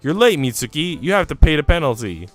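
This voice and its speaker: A man's voice: on the high side, big pitch swings, fairly quick, faint music behind, pitched above the performer's own voice. A man voicing a blunt, bossy, food-loving little girl from a visual novel. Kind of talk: visual novel character read aloud.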